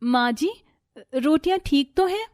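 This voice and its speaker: Woman voicing a meek, plaintive young bride, high voice. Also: lively intonation, unhurried, darkish tone, dry recording, studio quality. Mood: hurt. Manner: plaintive, timid. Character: meek, mistreated young daughter-in-law, hurt and pleading.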